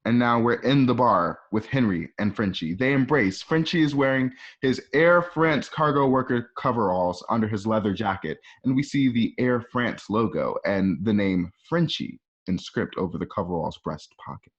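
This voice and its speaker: A man's voice: on the low side, normal speed, few pauses. A man reading scripted scene descriptions as the narrator of an amateur radio play. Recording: dull lo-fi home recording.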